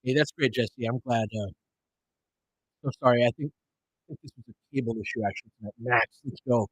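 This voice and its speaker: A man's voice: medium pitch, fairly quick, husky, no room sound, poor audio. A man giving live basketball commentary and analysis in an informal, conversational way. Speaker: play-by-play commentator and host.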